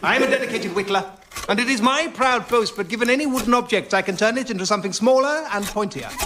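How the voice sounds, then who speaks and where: Man acting a high-voiced genteel eccentric. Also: quick pace, heavy background noise, natural voice. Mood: pompous storytelling. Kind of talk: radio sketch comedy acting.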